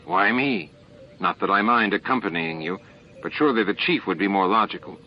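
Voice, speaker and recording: Man doing a gruff security officer, muffled. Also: lowish voice, big pitch swings, fairly quick, a little hiss or hum. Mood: dry, grudging. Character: gruff, matter-of-fact male station security officer. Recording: muffled, band-limited tape audio.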